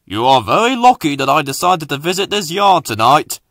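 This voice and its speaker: Male reader as railway controller, stern, authoritative, bright forceful delivery, admonishing, storybook. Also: on the high side, big pitch swings, moderate pace, no echo, studio quality.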